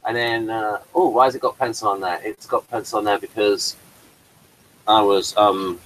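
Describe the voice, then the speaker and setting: A man's voice: fairly low pitch, steady tone, moderate pace, fairly bright tone, a little hiss or hum, poor audio. A man talking casually about his stage play, rambling with many fillers and restarts. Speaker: playwright showing his work. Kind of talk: casual chat on a video call.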